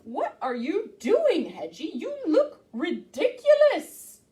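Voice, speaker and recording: A woman voicing a blunt, friendly farm horse who snorts and speaks loudly. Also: very high-pitched, wildly swooping pitch, takes it slowly, pitched above the performer's own voice, heavily acted. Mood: teasing.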